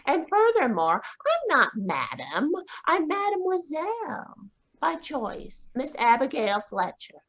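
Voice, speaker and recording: A woman voicing a brisk, independent, sharp-tongued spinster in a live radio drama, with spirited, indignant retorts. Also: high voice, wildly swooping pitch, heavily acted. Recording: dull lo-fi video call audio.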